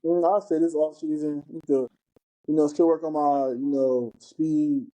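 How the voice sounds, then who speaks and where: A young college football player from Cleveland speaking haltingly, with many restarts and "you know.". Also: male voice, fairly high pitch, fairly even intonation, mellow, poor audio. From Cleveland, Ohio. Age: young adult. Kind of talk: casual podcast chat.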